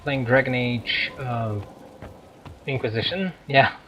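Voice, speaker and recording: A man giving casual, unscripted gameplay commentary, explaining things haltingly as he searches for words. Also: mid-range voice, fairly bright tone. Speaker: gamer hosting a playthrough. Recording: dull lo-fi mic, faint noise.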